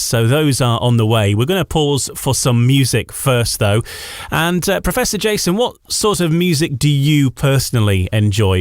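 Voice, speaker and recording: A man's voice: medium pitch, dry recording. Male radio host, dry close sound. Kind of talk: radio presenting and interviewing.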